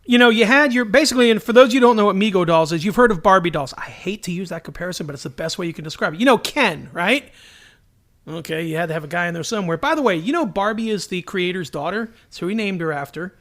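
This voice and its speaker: Man, podcast host, high-pitched, forceful, casual joking chat, digressive. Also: fast.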